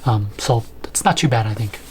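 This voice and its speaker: A man's voice: on the low side, lively intonation, fairly quick, background noise. A man in his thirties giving casual, conversational unboxing and setup commentary as he thinks aloud in a room at home. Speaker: video host, product reviewer. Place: small home room, indoors.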